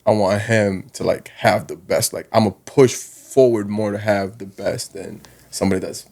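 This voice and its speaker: Low-voiced man in casual podcast chat. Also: steady tone, normal speed, gravelly, darkish tone. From South Sudan. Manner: informal, slangy, frequent "you know". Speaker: guest, college basketball coach.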